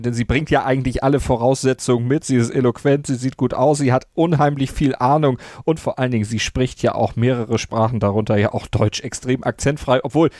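A man's voice: medium pitch, a little mellow, dry recording, studio quality. A man hosting a sports podcast, moderating fluently in a presenter's manner. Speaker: podcast host.

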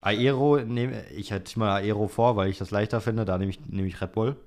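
Young male podcast host, low voice, casual and slangy chat. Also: moderate pace, a little mellow. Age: young adult. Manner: informal youth slang. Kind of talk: casual chat about motorsport, answering listener questions.

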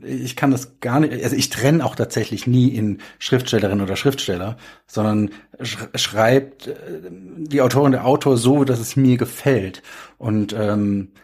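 A man chats in a casual discussion with a husky, slightly rough voice of middle pitch. He speaks haltingly, often circling back to rephrase. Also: darkish tone. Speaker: thriller author on a panel.

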